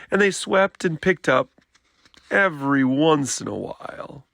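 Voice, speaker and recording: A man reading a children's picture book aloud in an expressive storytelling style. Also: medium pitch, gravelly, dry recording, clean studio sound.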